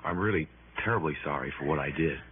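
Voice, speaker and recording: A contrite young husband in a sixties radio play. He speaks low, earnest and deferential, stumbling over words. The old radio sound is muffled with faint noise. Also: fast talker. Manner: stammering, deferential. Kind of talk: 1960s radio drama acting. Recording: muffled, faintly noisy 1960s radio recording.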